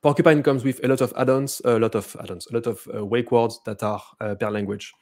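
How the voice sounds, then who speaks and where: A man with a French accent giving a live software demo, hesitant, with many fillers and restarts. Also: mid-range voice, steady tone, a little fast. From France. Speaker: presenter.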